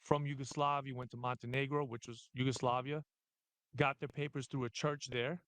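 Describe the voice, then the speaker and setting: Podcast guest: relaxed, jokey New man in his forties, mid-pitched voice, dry close mic. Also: no room sound, poor audio. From the Bronx, New York. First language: Albanian. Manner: easygoing, joking, talks in quick fragments. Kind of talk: casual chat.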